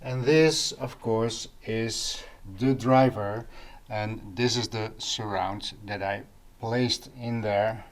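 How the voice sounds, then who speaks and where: A man hosting a casual audio gear vlog, explaining things informally and pausing mid-sentence as he goes. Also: lowish voice, steady tone. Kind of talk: casual informal product talk to camera.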